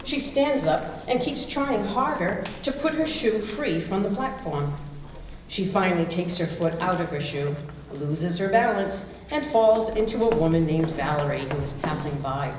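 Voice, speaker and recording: A roomy, distant live recording with noticeable noise. A woman with a fairly low voice narrates, reading scripted stage directions. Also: lively intonation, normal speed.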